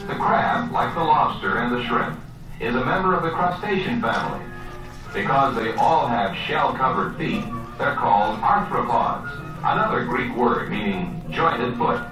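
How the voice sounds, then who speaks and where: A man narrates an educational nature film in a lively, varied delivery, explaining each point straight to the viewer. His voice comes through very echoey and distant, with clear music behind it. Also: mid-range voice, measured pace. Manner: explanatory, addresses the viewer directly.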